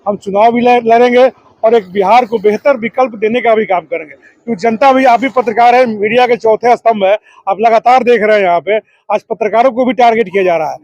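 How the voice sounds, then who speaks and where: A man's voice: high voice, fairly quick, a little mellow, dry recording, muffled recording. A man answering as a political activist, oratorical, building his points in repeated phrases and lists. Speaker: political activist, district committee member.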